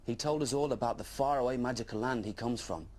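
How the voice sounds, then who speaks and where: A man's voice: mid-range voice, fast, natural voice. A man voicing an eager, wide-eyed young dragon who tells his adventure with childlike wonder in a children's storybook. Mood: eager, amazed.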